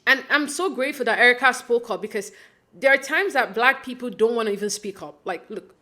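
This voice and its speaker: A woman's voice: fairly high pitch, fairly quick, sharp tone. A young adult woman from Nigeria giving a casual, chatty recap of a reality show, full of fillers and restarts and acting out quotes.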